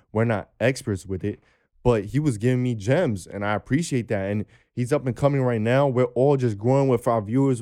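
Twenties male guest, warm mellow tone, earnest and sincere. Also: mid-range voice. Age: 20s. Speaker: podcast guest. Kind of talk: casual podcast chat.

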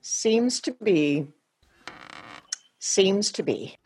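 A woman acting in a radio play as a sentimental grown daughter who clings to keepsakes and pleads haltingly. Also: mid-range voice, expressive, darkish tone, slight background noise. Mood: subdued. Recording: music behind, recorded remotely in one take.

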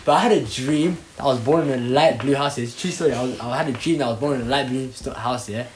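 Fast-talking young man, echoey room. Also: mid-range voice. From Somalia. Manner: animated, laughing, quick-fire banter. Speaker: podcast host. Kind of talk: casual chat. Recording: echoey room with faint noise.

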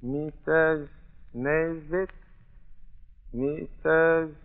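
Yandy, a begging drunken mimic. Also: male voice, fairly high pitch, fairly even intonation, drawn-out words, crowd noise. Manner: mimics other voices, begs for money. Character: half-witted drunken male mimic, grinning, sways. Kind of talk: 1940s radio acting. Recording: narrow old radio broadcast.

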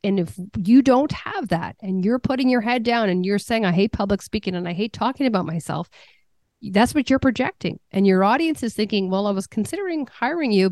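A woman's voice: mid-range voice, a little mellow, no echo. A woman business coach answering interview questions in an earnest, conversational way, often repeating a word as she starts a thought.

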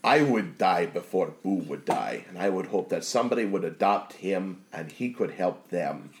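A man doing a deep-voiced blunt wild barbarian. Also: animated, moderate pace, reverberant, a little hiss or hum. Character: gruff male barbarian raised in the wilds. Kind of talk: tabletop roleplay in character.

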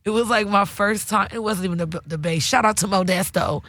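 Raspy-voiced woman host, casual chat. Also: medium pitch, brisk, a bit bright, dry recording, clean studio sound. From California. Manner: loose, slangy storytelling with many restarts. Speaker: podcast host.

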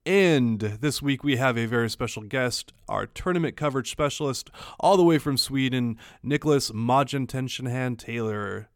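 A man's voice: mid-range voice, moderate pace, dry recording, studio quality. A man hosting a gaming podcast in casual, rambling chat full of fillers. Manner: rambling, filler-heavy, upbeat. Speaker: podcast host.

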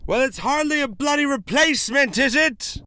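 A woman's voice: on the low side, big pitch swings, normal speed, no room sound, clean studio sound, pitched above the performer's own voice. A woman playing an indignant male customer who complains in emphatic, exasperated rants in a comedy sketch. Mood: sour, incredulous. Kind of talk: British sketch comedy reenactment.

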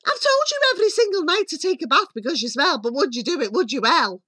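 A woman voicing a shrill, scolding, greedy mother in a storybook tale, shrieking and exasperated. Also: big pitch swings, fast talker, background noise.

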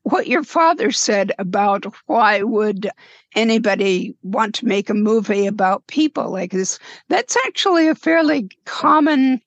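A slightly hoarse woman talking thoughtfully as a panelist in a casual film discussion. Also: medium pitch, animated, unhurried, no echo, highs cut off. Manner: thoughtful, musing, with small laughs. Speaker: podcast panelist.

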